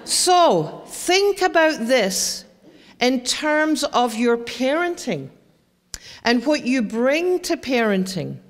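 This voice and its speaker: A woman's voice: high-pitched, big pitch swings, takes it slowly. A woman with a Scottish accent gives a chatty educational talk to parents and often asks the audience questions. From Scotland. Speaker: presenter. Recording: long hall reverb.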